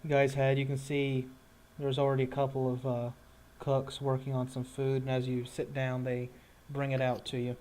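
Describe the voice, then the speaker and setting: A man running a tabletop game, narrating off the cuff in an informal, halting way and chatting casually. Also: mid-range voice, completely flat delivery, darkish tone. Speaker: game master.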